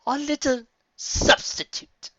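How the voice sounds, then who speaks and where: A man voicing a cruel, clever dark alicorn mare who taunts in a hissing, drawn-out way, in a storybook reading. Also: no echo, lo-fi sound. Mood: menacing. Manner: hissing, taunting, slow and cold.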